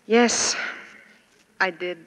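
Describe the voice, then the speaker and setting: A woman's voice: very slow, big-room sound, low-quality recording. A woman guest singer making polite scripted banter on a 1950s radio show. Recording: vintage 1950 radio, studio theater.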